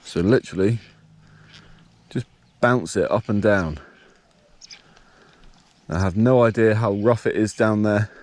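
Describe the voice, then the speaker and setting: A man with a blocked nose and a slightly nasal voice, casually talking through his fishing outdoors by a dam in a relaxed, explanatory way. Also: on the low side, unhurried, long pauses. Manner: relaxed, explanatory, thinking aloud. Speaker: fishing vlogger. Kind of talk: casual outdoor vlog narration.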